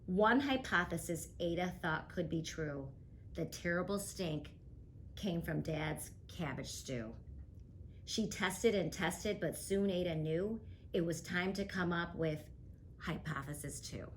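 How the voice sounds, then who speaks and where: A woman reading a rhyming picture book aloud as a guest reader, with a rhythmic, expressive delivery. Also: lowish voice, slow pace, slight background noise.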